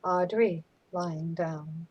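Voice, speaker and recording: A woman's voice: lowish voice, fairly even intonation, very slow, slightly rough, muffled recording. A woman narrator reading stage directions in a clipped, scripted style.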